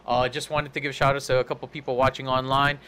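A man's voice: mid-range voice, level tone, fast, fairly bright tone, clean tone. A man hosting a live podcast, rambling through his thoughts with many fillers and restarts. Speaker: podcast host. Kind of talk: casual podcast chat.

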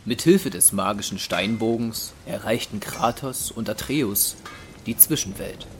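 A man's voice: fairly low pitch, unhurried. A man reading scripted narration for a fantasy audio drama. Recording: clear ambient noise behind.

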